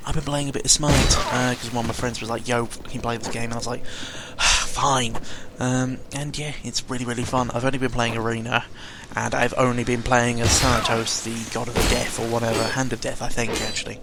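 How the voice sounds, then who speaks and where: A man's voice: medium pitch, level tone, a little fast, hardly any pauses. A young British man giving a casual, sweary, rambling audio log in the manner of a gaming vlogger. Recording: clearly noisy amateur recording with ambient sound.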